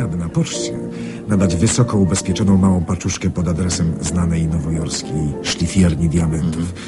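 A male actor playing a genial, crafty American gentleman traveller in a radio drama, with polished, theatrical delivery. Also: low-pitched.

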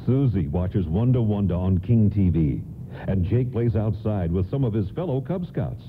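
A muffled, narrow-band recording with faint noise carries a nostalgic radio storyteller. His delivery is scripted and moves up and down freely in pitch. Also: sing-song, normal speed. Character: nostalgic male storyteller of a Christmas radio play. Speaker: narrator. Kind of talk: scripted radio play narration. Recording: muffled phone-like audio, faint rumbling noise.

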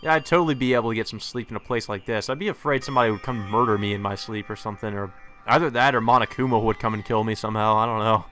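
A man's voice: lowish voice, fairly even intonation, very fast, sharp tone. A man reading a visual novel aloud as a let's play host, mixing narration with casual commentary. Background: game music.

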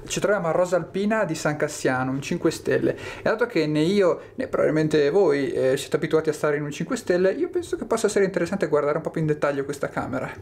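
Young male travel vlogger, slightly high voice, chatty and upbeat, handheld camera, noisy background. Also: lively intonation, fast, hardly any pauses, darkish tone. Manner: chatty, upbeat, addresses viewers directly. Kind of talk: travel vlog, talking to camera. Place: mountain outdoors and hotel room. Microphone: handheld camera at arm's length.

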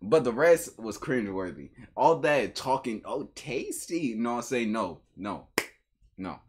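A young man reacts casually in slangy, exclamatory bursts. His husky voice swings up and down, though he takes his time getting the words out. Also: mid-range voice, sing-song, a little slow, darkish tone. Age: young adult. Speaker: reaction video host. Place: small room at home, indoors.

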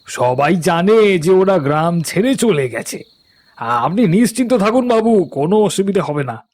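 A man's voice: high voice, big pitch swings, unhurried, darkish tone, exaggerated voice. A man voicing a callous, sly henchman who fawns on his boss in a cartoon. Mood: smug, servile. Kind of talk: dramatic cartoon voice acting.

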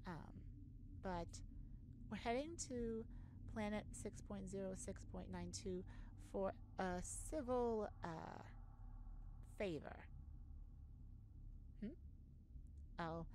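A woman playing an earnest, easily flustered space ambassador, halting and awkward yet formal, in an ASMR sci-fi roleplay. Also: mid-range voice, creaky, a little mellow, poor audio. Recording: noticeable background noise.

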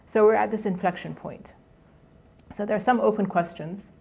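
A woman's voice: mid-range voice, normal speed, vocal fry, mellow, breathy edge. A middle-aged woman whose first language is Egyptian Arabic gives a conversational academic lecture from a podium, often restarting her phrases. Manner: conversational, with frequent restarts and fillers. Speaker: guest lecturer. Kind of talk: academic colloquium talk. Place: lecture hall.

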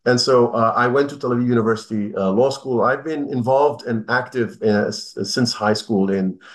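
A middle-aged man from northern Israel whose first language is Arabic, a human rights lawyer answering interview questions over a video call in a measured way, with many fillers and restarts. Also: mid-range voice. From Haifa, northern Israel. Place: indoor room at home. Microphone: video call webcam.

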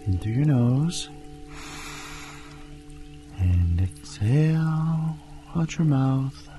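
A man narrating a guided meditation in a calm, soothing, hypnotic and deliberate manner. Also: medium pitch. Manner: calm, hypnotic, deliberate, often dropping into breathy whispers. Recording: music bed behind voice.

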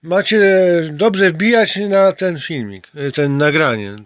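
A man's voice: fairly high pitch, very animated, slow, a bit bright, dry recording. A man hosting a casual homemade interview, talking in a playfully mock-formal way with repeated slangy plugs for his channel. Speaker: amateur channel host interviewing a companion. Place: small home computer room.

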